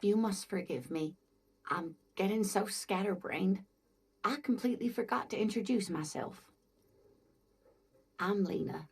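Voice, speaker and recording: Creaky-voiced kindly old lady. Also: mid-range voice, a little slow, long pauses, full character voice. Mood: playful, warm. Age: older. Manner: gentle, doting, hesitant, calls listener dear. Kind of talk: video game dialogue acting. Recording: soft game music behind.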